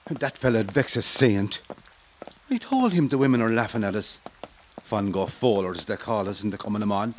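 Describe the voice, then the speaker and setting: Irish male rebel leader, acted by a man, low but very mobile pitch, weary and resolute, audio drama, faint noise behind. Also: lively intonation, normal speed, natural voice. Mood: exasperated, determined. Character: weary, driven Irish rebel commander, loving husband and father.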